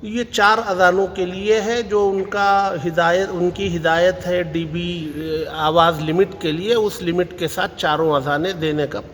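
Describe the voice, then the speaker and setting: A man's voice: high-pitched, steady tone, unhurried, few pauses, a bit bright. A man with an Indian accent giving an explanatory public statement as a community spokesman. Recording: long hall reverb, faint noise.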